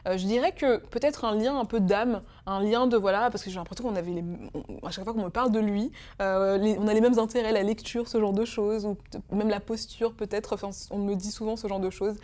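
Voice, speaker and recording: A woman's voice: mid-range voice, fairly even intonation, fairly quick, few pauses, a little mellow. A young woman in her twenties from Congo, speaking as a guest in an emotional interview, hesitant and full of fillers. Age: 20s. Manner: hesitant, many fillers and restarts.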